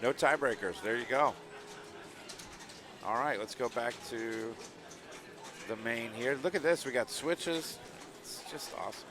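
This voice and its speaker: A man's voice: medium pitch, a little slow, long pauses. A middle-aged man from Long Island, hosting a live stream in a chatty, upbeat way, reading chat messages and ads. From Long Island, New York. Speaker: stream host. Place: tournament hall. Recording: noisy crowd in the background.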